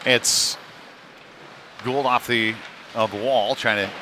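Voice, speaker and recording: A man doing live hockey play-by-play in a loose, conversational way, with hesitant pauses mid-call. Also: medium pitch, fairly even intonation. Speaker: play-by-play commentator. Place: ice rink. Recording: noisy live rink ambience.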